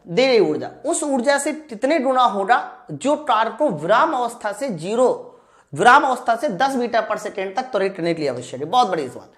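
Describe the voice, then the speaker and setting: A woman teaching physics in an online class, informally addressing students, asking questions and answering them herself. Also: fairly high pitch. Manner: asks questions and answers them herself, repeats key lines. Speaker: physics teacher for exam preparation. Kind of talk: live online class, explaining problems step by step.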